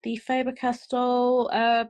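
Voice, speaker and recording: A woman's voice: on the high side, completely flat delivery, takes it slowly, darkish tone. A woman with a South African twang, a guest artist chatting casually on a podcast, rambling with fillers and restarts.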